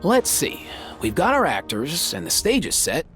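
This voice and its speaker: Earnest, animated young man. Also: fairly high pitch, normal speed. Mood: earnest, persuasive. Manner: casual, expressive, explanatory. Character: earnest, kindly young male schemer rallying friends. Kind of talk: video game voice acting. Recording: light music behind.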